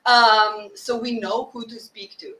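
A woman hosting a podcast speaks with a bright, fairly high voice, lively and animated. She sounds distant from the mic in an echoey room. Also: a little slow. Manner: lively, expressive, animated retelling. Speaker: podcast host. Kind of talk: conversational podcast interview.

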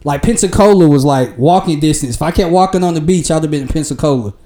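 A man in his late twenties chatting casually with friends, telling stories in lively slang and jumping up in pitch when he quotes himself. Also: quick pace. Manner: animated storytelling, slangy, jumps high when quoting himself. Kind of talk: casual podcast chat.

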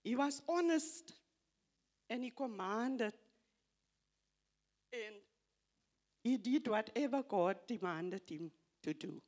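An older woman with an Afrikaans accent, English not her first language, slowly reading scripture aloud and reflecting on it at a church gathering, halting and repeating phrases. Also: fairly high pitch, expressive, darkish tone. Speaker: church member giving a tribute.

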